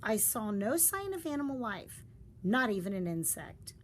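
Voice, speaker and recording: Expressive woman reading a story aloud, animated pitch. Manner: deliberate, dramatic storytelling delivery. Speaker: storybook reader. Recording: faint background noise.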